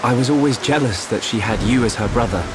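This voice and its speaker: A young man acting an earnest, brooding young hero in a video game, sincere and soft-spoken. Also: mid-range voice, fast talker, lightly acted, rough recording. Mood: wistful, hesitant. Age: young adult. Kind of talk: dramatic video game dialogue. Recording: music and rain behind voice.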